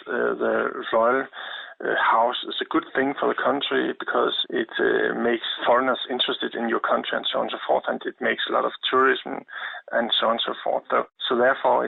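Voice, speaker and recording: Male expert guest, Danish accent, husky and flat in pitch, halting explanations, heard down a phone line. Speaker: expert guest, history lecturer. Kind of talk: radio interview, explaining. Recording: narrow, tinny phone-like line.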